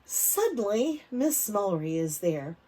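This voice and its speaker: A woman reading a picture book aloud to preschoolers, expressive, stretching words for emphasis and putting questions to the children. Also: mid-range voice, takes it slowly, slightly rough. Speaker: read-aloud storyteller for preschoolers.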